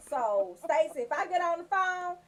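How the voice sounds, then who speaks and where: A woman hosting a radio show, giving casual, comedic shout-outs in a sassy, joking, profane way. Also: very high-pitched, very animated, measured pace.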